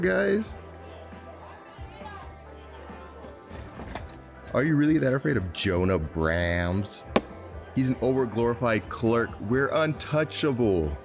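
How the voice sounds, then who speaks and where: A man's voice: medium pitch, creaky. A man playing a cocky, defiant operative in a naturalistic drama, brash and dismissive. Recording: music under dialogue.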